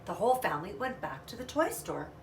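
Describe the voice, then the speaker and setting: A woman's voice: mid-range voice, very animated, fast talker, gravelly. Woman reading a storybook in an echoey room. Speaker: storyteller reading a children's book. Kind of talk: expressive read-aloud narration with casual asides. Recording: roomy, distant mic, faint noise.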